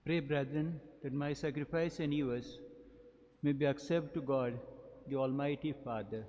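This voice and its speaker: An older man from India, a priest at the altar, reciting liturgical prayers in a measured, solemn way into a stand mic. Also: fairly high pitch, fairly even intonation, highs cut off. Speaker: priest celebrating Mass. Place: large cathedral, at the altar. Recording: long church reverb.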